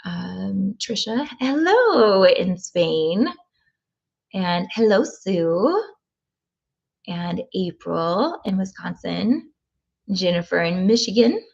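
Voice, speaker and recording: A woman's voice: mid-range voice, sing-song, slow, creaky, dull audio. A woman from Oklahoma hosting a live tapping session, reading chat comments with frequent ums. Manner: warm, thinking aloud with frequent ums.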